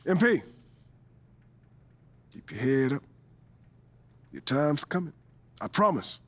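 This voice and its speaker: Earnest, expressive team associate. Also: male voice, fairly high pitch, slow pace, heavily acted. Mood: encouraging. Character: earnest, reassuring male basketball team associate. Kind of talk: video game cutscene dialogue.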